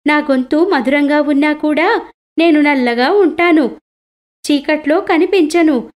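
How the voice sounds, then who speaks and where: A woman voicing a gentle, discontented female cuckoo in an animated fable, earnest and plaintive. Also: high voice, pitched above the performer's own voice. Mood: wistful.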